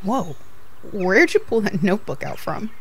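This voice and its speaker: A woman's voice: mid-range voice, big pitch swings, normal speed, vocal fry, breathy edge, full character voice. A woman playing a sensible, bookish young friend who loves mythology, dry and explanatory, in an audio drama. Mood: wary.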